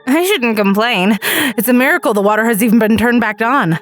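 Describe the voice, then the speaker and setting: A woman with a fairly high voice narrates a story in the first person. She tells it dramatically, as a storyteller, with music playing underneath. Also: fairly quick.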